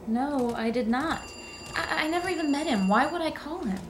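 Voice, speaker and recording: A woman's voice: sits high, animated, moderate pace, noisy background. A woman acting as an anxious suspect who denies everything, hesitant and defensive in a naturalistic film style. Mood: flustered denial. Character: flustered, anxious female suspect denying everything.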